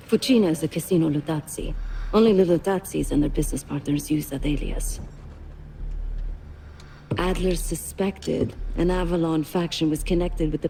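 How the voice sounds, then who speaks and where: An actress playing a composed, worldly woman hardened by loss in a video game, speaking in measured, grave, clipped phrases. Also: on the low side, mellow, a little hiss or hum, rough recording. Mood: assured. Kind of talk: cinematic video game acting.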